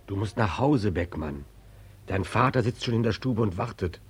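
Coaxing homeward guide, lowish hushed voice, extremely husky, gentle, vintage 1940s radio play. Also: male voice, animated, moderate pace. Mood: soft, coaxing. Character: gentle, luring male voice guiding a soldier homeward. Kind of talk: 1940s German radio drama acting.

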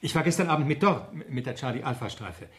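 An actor playing an eager, nervous young police constable in a radio crime drama, hesitant and deferential. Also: male voice, medium pitch, lively intonation, fairly quick, close to an everyday voice. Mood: earnest, deferential. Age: young adult. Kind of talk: 1970s German radio crime drama acting.

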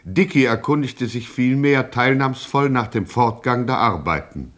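An actor playing a dry, ironic narrator who reads scripted commentary in a satirical radio crime play. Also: male voice, medium pitch, unhurried, fairly bright tone, lightly acted. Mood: dryly ironic. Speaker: second narrator.

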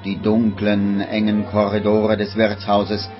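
A man narrating a radio play in a measured storytelling manner, on an old 1950s recording. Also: on the low side, monotone, muffled recording, soft background music.

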